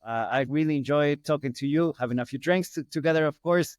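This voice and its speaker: Man, middle-aged, Ecuadorian Spanish accent, smooth clear tone, conversational storytelling, repeats for emphasis, dry sound. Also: on the high side, quick pace, no room sound. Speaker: podcast guest, hospital business owner. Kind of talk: casual interview storytelling.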